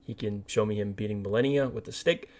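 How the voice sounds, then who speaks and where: A man's voice: fairly low pitch, fast talker, darkish tone. A man co-hosting a casual movie and game podcast, opinionated and emphatic, repeating words for stress. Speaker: podcast co-host.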